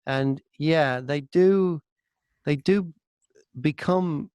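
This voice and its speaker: A man's voice: on the high side, drawn-out words, darkish tone, clean tone, dry recording. A man talking casually as an interview guest, a voice-over artist and audiobook narrator.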